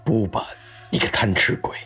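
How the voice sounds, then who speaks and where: A man acting a gruff, wary desert observer in an audio drama, muttering hesitantly. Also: normal speed, sharp tone, faint music behind, lightly acted. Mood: exasperated.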